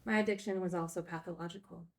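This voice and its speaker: An actress playing a candid, wry recovering addict who teaches at a group home, in a naturalistic screenplay read. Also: female voice, fairly low pitch, fairly even intonation, quick pace, lightly acted. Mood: reflective, confessional.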